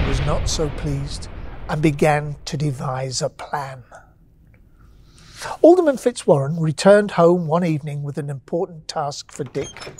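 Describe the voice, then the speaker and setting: A woman narrating a bedtime story with gentle, expressive pacing and dramatic pauses. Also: low-pitched, breathy edge.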